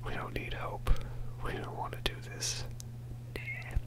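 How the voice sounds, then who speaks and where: A man whispering a soft, rambling ASMR commentary over gameplay as host of his channel, joking about himself with quiet laughs. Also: measured pace. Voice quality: whispering throughout.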